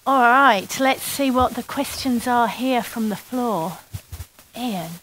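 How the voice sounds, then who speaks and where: A woman's voice: on the high side, takes it slowly, a bit bright, a little hiss or hum, low-quality recording. Playful woman chairing a panel. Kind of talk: moderating a panel.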